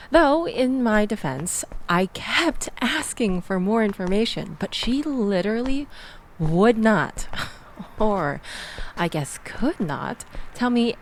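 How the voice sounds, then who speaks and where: A woman's voice: mid-range voice, a little hiss or hum. A woman playing a chatty, exasperated young woman in a casual audio roleplay, rambling and self-deprecating as she tells her story. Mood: exasperated, amused. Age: young adult.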